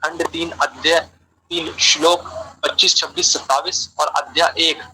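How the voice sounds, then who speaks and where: A man's voice: fairly high pitch, fairly even intonation, a little slow, sharp tone, slight background noise, rough recording. A man giving a religious lecture on an online call, explaining terms and repeating phrases for emphasis. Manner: explanatory, translates key terms as he goes. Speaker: religious speaker answering questions.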